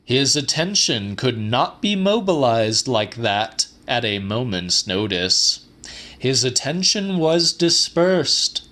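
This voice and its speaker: Slow, animated male audiobook narrator. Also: mid-range voice. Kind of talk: dramatic literary narration.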